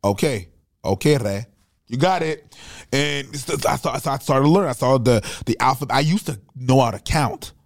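A man's voice: medium pitch, moderate pace, no echo, studio quality. A man chatting casually and comedically in a slangy way, swearing freely and acting out bits of dialogue as he tells stories. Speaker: podcast speaker. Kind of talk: casual comedic storytelling chat.

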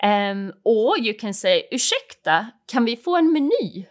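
A woman's voice: on the high side, big pitch swings, unhurried. Patient female teacher. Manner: patient, explains step by step, models phrases. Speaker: Swedish language teacher.

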